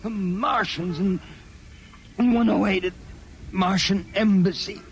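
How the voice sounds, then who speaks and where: An actor playing a drugged private detective, halting and pleading in old-time radio drama style. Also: male voice, sits high, steady tone. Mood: desperate pleading. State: groggy, distressed. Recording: noisy vintage radio recording.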